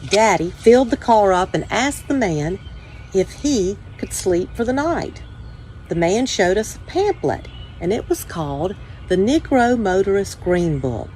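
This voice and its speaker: A woman's voice: mid-range voice, big pitch swings, unhurried, darkish tone, a little hiss or hum. A woman reading a children's storybook aloud as a first-person narration. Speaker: story time reader.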